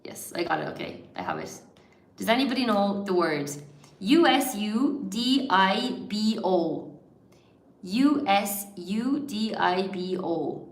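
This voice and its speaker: An Irish woman, an English teacher, hosting a live word game for her viewers, chatty and encouraging, laughing often and greeting people by name. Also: medium pitch. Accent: mild Irish, largely neutral. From Ireland. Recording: echoey room.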